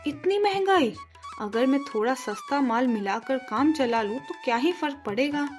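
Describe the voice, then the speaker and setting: A woman's voice: high-pitched, lively intonation, normal speed, faint music behind. A woman voicing a crafty male food-cart vendor in a children's story, casual and self-justifying. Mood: scheming.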